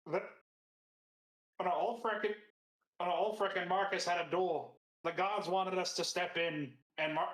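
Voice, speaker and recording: A woman's voice: on the low side, steady tone, normal speed, long pauses. Woman playing an rpg, casual narrating her character, roomy echoey mic. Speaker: tabletop game player. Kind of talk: casual in-character tabletop roleplay. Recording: echoey, reverberant room.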